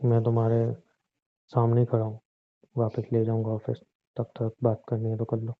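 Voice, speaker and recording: A man's voice: medium pitch, completely flat delivery, normal speed, lightly acted. Man acting a defensive, short-tempered husband, muffled phone sound. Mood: placating. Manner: argumentative, swings between conciliatory and accusing. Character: defensive, short-tempered husband, grudgingly apologetic, nagging his wife. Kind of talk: Hindi audio drama dialogue, conversational acting.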